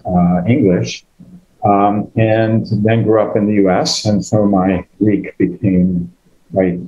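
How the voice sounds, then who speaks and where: Older American man, very low dark voice, halting storyteller, muffled call audio. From United States. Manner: hesitant, many fillers and restarts. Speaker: guest speaker. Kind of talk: conversational personal story. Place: online video call.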